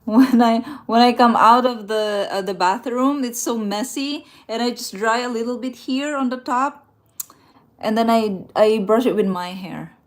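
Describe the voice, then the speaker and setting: A woman's voice: a little high, measured pace. A woman from the Philippines, chatting casually on a travel livestream, laughing often and restarting her sentences. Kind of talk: casual live chat, reading viewer comments aloud. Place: indoors, a rented room at night.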